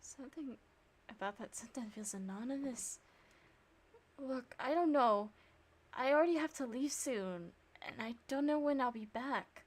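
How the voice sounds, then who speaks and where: A woman streamer reading visual novel narration and dialogue aloud, with sarcastic, expressive reactions. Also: high-pitched, measured pace.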